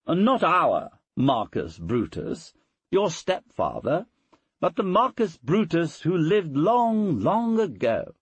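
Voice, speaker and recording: A man voicing a proud, sharp-tongued Roman noblewoman in an audiobook, brisk and self-assured. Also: on the high side, wildly swooping pitch, slightly rough, no room sound, voice pushed up, full character voice. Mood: poised, fond. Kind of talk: audiobook character dialogue.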